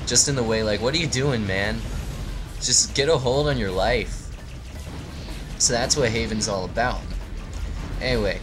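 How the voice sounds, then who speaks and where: A man hosting a video game let's play, giving rambling, joking commentary in a deadpan, tongue-in-cheek, meandering way. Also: medium pitch, normal speed, long pauses, music in the background.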